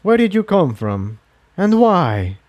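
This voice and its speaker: Man acting an elderly pony high priest, raised pitch. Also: big pitch swings, takes it slowly, darkish tone, no echo, full character voice. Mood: commanding, questioning. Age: older. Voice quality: strong, clear. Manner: formal, authoritative. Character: elderly male unicorn high priest, courteous but guarded. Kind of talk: storybook character voice.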